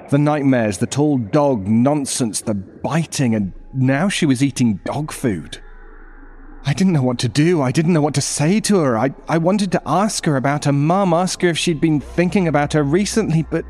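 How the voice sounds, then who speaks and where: An actor playing an anxious, troubled father who narrates a horror story in an intense, brooding way with dramatic pauses. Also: mid-range voice, a little mellow, a little hiss or hum, close to an everyday voice. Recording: music behind the voice.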